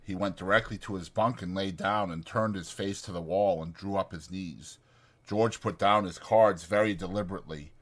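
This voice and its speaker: A man's voice: sits low, normal speed, fairly bright tone. A man reading a story aloud as an audiobook narrator, in a dramatic scripted style.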